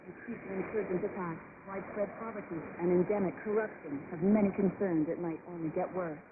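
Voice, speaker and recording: A woman's voice: fairly low pitch, steady tone, a little fast, phone-quality sound. A woman reporter reading scripted documentary narration for a radio news programme, heard over shortwave. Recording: noisy band-limited shortwave radio broadcast. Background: heavy shortwave static.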